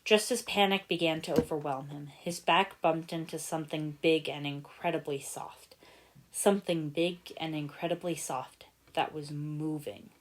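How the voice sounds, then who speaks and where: A woman narrating a story from a script in an expressive storytelling style. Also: low voice, moderate pace, a little hiss or hum. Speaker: fan fiction narrator.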